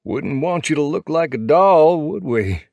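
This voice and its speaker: A man puts on a pretty-boy male biker for an audiobook. The voice sits well above his own pitch, playful and mocking, with a dry close sound. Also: sing-song, a little slow, vocal fry, a little mellow, no echo, muffled recording, full character voice. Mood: teasing.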